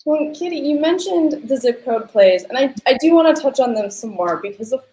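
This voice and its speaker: A woman hosting an interview, talking in an animated, affirming and conversational way. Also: high-pitched, fairly quick. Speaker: interview host. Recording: roomy, echoey sound.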